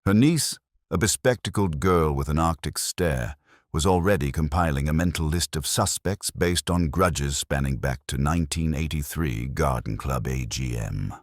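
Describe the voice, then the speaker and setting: A man's voice: extremely low pitch, moderate pace, dry recording, clean studio sound. A man reading scripted narration of a comic village mystery in a wry, literary storytelling manner.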